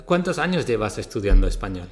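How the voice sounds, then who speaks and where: A man's voice: on the low side, big pitch swings, quick pace, a little hiss or hum. A man hosting a podcast interview, putting short questions and reactions to his guest.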